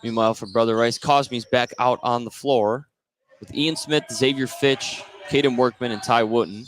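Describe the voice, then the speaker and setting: A man's voice: medium pitch, steady tone, normal speed, low-quality recording. An American man doing live play-by-play basketball commentary on the radio. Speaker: play-by-play announcer. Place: indoor high school gymnasium. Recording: faint gym noise behind. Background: faint gym ambience.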